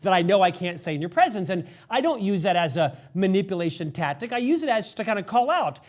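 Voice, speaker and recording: A male podcast host with a slightly higher-than-average voice talks earnestly with his guest. He has a lifelong stutter and chooses his words with care. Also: sing-song, fast talker. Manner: earnest, engaged interviewer. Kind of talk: interview conversation.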